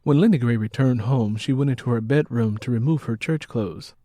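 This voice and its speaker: A man's voice: mid-range voice, brisk, mellow, dry recording. A man narrating a story as scripted audiobook prose.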